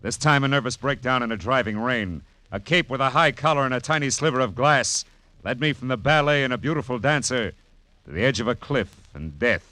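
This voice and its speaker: An actor playing a world-weary, wisecracking private detective in hard-boiled 1940s radio drama, wry and clipped. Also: male voice, medium pitch, fairly quick, pushed and bright, a little hiss or hum, natural voice. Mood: tough, grim. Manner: wry, clipped, tough-talking.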